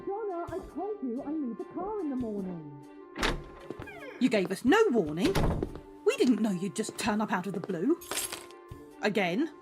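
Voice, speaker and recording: A woman's voice: fairly high pitch, sing-song, moderate pace, long pauses, over music. A woman playing a bossy, exasperated middle-aged mother who scolds in colloquial speech, in an audio drama.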